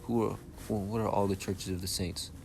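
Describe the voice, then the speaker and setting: Soft-spoken young man teaching scripture, very low. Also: flat delivery, brisk, vocal fry, background noise. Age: young adult. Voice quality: soft-spoken by his own account. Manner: casual, checks in constantly with "all right?" and "okay?". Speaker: Bible teacher.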